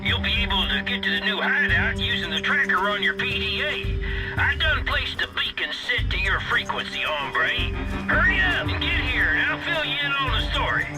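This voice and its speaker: An actor playing a jovial, folksy agent uncle in a video game. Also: male voice, extremely high pitch, big pitch swings, moderate pace, loud music behind the voice, poor audio. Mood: breezy, jovial. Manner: folksy, breezy.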